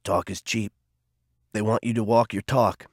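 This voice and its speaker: A gruff older mentor in an audiobook, low and hushed, stern with a dry teasing edge, as a man reads him. His voice sits deep and soft, recorded close and dry. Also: steady tone, measured pace, dry recording. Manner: low, quiet and firm.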